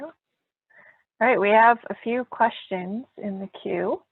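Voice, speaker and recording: Thin, phone-like audio carries a woman moderator of average pitch. She takes her time as she informally reads out questions for a panel, with a dry sound. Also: slow pace, creaky, breathy edge, no room sound. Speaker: moderator reading audience questions. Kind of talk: casual question and answer hosting. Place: remote group video call.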